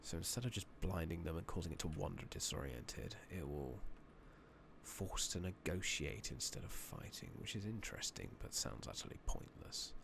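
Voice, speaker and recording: Very deep, gravelly man, softly spoken. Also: very animated, normal speed. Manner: musing to himself, reading game text aloud. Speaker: ASMR gaming streamer. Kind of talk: soft-spoken, whispered ASMR game playthrough. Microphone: close makeshift replacement microphone. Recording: noisy makeshift microphone, clicking.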